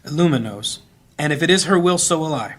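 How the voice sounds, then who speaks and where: Principled priest, fantasy audio drama, formal, measured, dryly disapproving. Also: male voice, a little high, lively intonation, a bit bright. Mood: stern, dry.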